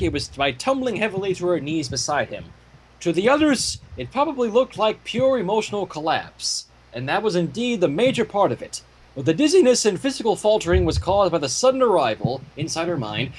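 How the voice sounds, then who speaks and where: A man with a fairly high voice reads a story aloud as a narrator. His delivery swings up and down in an animated way, and he slips into casual commentary. There is faint noise in the recording. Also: poor audio. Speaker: fan fiction reader and commentator.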